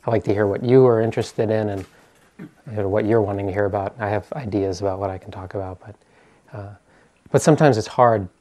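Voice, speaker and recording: Male meditation teacher, American, lowish voice, informal talk to a group, hesitant and searching for words. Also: brisk, dark tone. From US East Coast.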